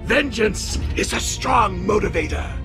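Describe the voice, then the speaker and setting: High-pitched, scheming robot villain. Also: male voice, expressive, a little slow. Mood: scheming, submissive. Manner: theatrical, indignant, pompous. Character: scheming, boastful, defiant villainous robot lieutenant. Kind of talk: video game cutscene acting. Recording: music clearly behind.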